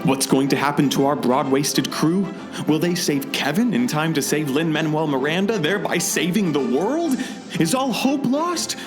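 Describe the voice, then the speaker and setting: A man's voice: a little high, expressive, normal speed, lightly acted. A man playing a self-absorbed, easily flustered announcer in a comedic radio serial. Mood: grandiose. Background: music.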